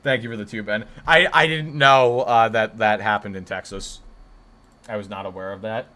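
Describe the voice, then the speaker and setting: A deep-voiced man of twenty hosting a casual live stream, answering his chat with rambling, joking banter and mock defensiveness. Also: fairly even intonation, moderate pace, bright, forceful tone. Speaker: livestream host.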